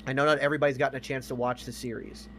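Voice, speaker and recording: A man's voice: medium pitch, fairly even intonation, rapid-fire, fairly bright tone, a little hiss or hum. A man talking casually as a podcast co-host about films and games, emphatic and opinionated, swearing freely. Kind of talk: casual film and game discussion.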